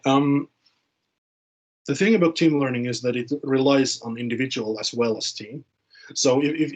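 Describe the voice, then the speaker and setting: A man's voice: medium pitch, breathy edge, highs cut off. A man, speaking informally in a webinar discussion and often repeating words as he thinks. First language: Finnish. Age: late 20s.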